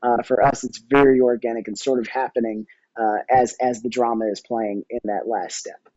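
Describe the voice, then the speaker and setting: A man with a soft-edged, mellow voice of average pitch explains things casually as an interview guest, often pausing to find his words. The recording is very dry and a little dull. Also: little pitch movement, no echo. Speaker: podcast guest, audio drama producer and musician.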